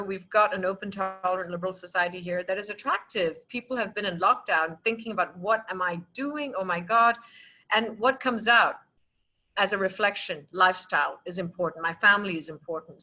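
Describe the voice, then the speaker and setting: A woman from Singapore talks through her business outlook on a virtual panel, animated and reasoned, with frequent laughter. Her voice is bright and forceful. The call audio sounds dull and lo-fi. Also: mid-range voice. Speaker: company CEO, association president. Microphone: video call.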